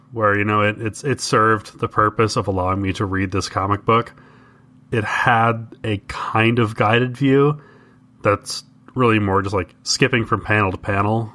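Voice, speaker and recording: A man's voice: on the low side, a bit bright. A man co-hosting a podcast, explaining things in casual conversation. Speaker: podcast co-host.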